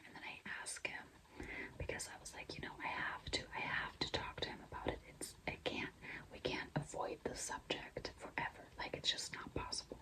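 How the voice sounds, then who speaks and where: A young woman whispering in a breathy, mostly unvoiced voice, chatting confidingly and casually to viewers as a vlogger telling a personal story. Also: moderate pace, rough recording. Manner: confiding, conversational, frequent "like" and "you know".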